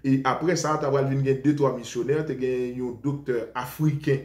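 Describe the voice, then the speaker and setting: Haitian male preacher, mid-pitched, rambling and defensive, echoey room. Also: fairly even intonation, moderate pace, darkish tone. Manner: rambling, defensive, switches between Creole and French. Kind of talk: informal preaching talk to followers. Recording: roomy echo.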